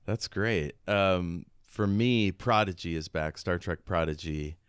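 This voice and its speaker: Man, low smooth voice, unhurried, dry close sound, casual jokey podcast co-host. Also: no room sound.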